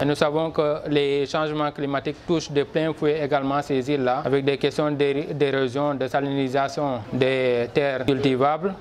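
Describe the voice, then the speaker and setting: A man, a local elected official giving a formal, measured statement to a news camera and listing his points one by one. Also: mid-range voice. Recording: crowd chatter in the background.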